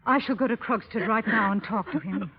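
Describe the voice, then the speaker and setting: A woman playing a gentle, steady confidante in a vintage radio drama, speaking with soothing, earnest concern. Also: medium pitch, fairly quick, slightly rough. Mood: soothing, worried. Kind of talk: 1930s radio drama acting. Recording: dull, lo-fi old radio recording.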